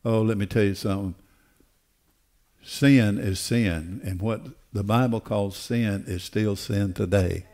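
Older preacher, low-pitched voice. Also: male voice, unhurried, mellow. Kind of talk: sermon. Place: church auditorium stage. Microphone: headset.

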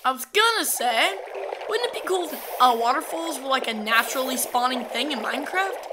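A woman talking casually while playing a game, chatty and easily excited, with a sore throat that makes her voice crack. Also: sits high, normal speed, noisy background. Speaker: gamer. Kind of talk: casual gameplay commentary.

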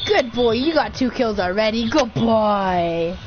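High-voiced teen boy trash-talking. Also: animated, unhurried, lo-fi sound, heavy background noise. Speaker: streamer. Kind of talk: casual gaming banter.

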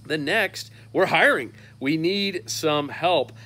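A man's voice: a little high, slow pace, sharp tone. A man in his thirties hosting a fishing newsletter roundup, talking casually and upbeat to a phone camera on an outdoor patio, with many "uh" fillers. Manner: upbeat and chatty, lots of "uh" fillers.

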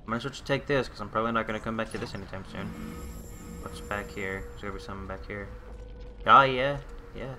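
A man's voice: fairly low pitch, fast. A man playing through a game and commenting on it, exasperated and swearing at enemies, talking to them as he fights. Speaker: let's play commentator. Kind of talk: gameplay commentary. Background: game music and sound effects.

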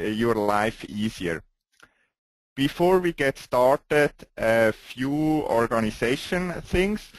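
Swiss guy presenting a webinar, lots of uh's, dry close mic, mid pitch. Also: no echo, poor audio. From Switzerland. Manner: hesitant, frequent "uh" fillers, non-native phrasing.

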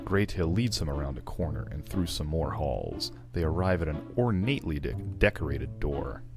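A man's voice: very deep voice, expressive, moderate pace, over music. A man narrating an amateur dramatic reading of a screenplay.

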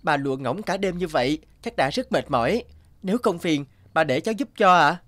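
A man's voice: on the high side, animated, a little fast, fairly bright tone. A man playing a polite, humble, kind-hearted young wanderer in a cartoon fairy tale, speaking earnestly. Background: background music.

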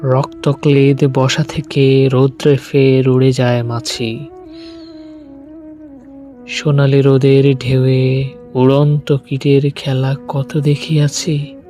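A man reciting poetry in a solemn, dramatic style, deliberately, with weighted pauses between short phrases. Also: mid-range voice, level tone, dark tone. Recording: music bed under the voice. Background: music.

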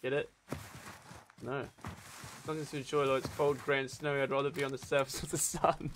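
A man's voice: mid-range voice, little pitch movement, brisk, a little hiss or hum. A man with a British accent chatting casually on a live game stream, with crude, sweary, joking banter. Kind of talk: casual stream chat while gaming.

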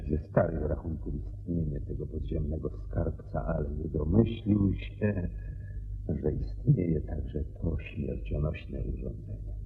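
Very deep, gravelly master detective. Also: male voice, exaggerated voice. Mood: explanatory, assured. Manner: deliberate, theatrical, with emphatic pauses. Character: brilliant male detective, assured, methodically explaining a crime. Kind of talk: radio play acting. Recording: dull radio recording, faint noise and hum.

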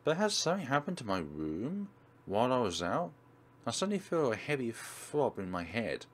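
A man's voice: medium pitch, very animated, moderate pace. A man hosting a let's play, dramatically reading a visual novel aloud and commenting on it.

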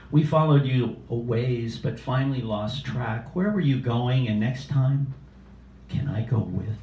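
Older man, low creaky voice, open-mic poet, deliberate delivery, roomy cafe recording. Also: dark tone. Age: 60s. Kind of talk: live poetry reading with casual asides. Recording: roomy, distant cafe live stream with noise.

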